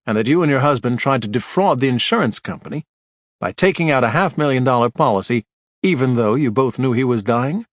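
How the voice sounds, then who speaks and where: A man's voice: mid-range voice, animated, a little fast, dry recording, clean studio sound, close to an everyday voice. A man voicing a blunt, plainspoken man who presses a witness with folksy, dogged questions.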